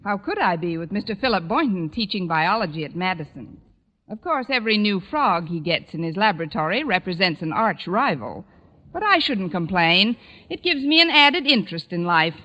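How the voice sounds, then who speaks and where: An actress playing a witty, lovelorn high school teacher in an old radio sitcom, dry and sardonic with theatrical timing. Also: female voice, sing-song, moderate pace, pushed and bright, lo-fi sound. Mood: wry, confiding. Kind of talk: 1940s-50s radio sitcom acting. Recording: old radio broadcast, echoey hall, heavy noise.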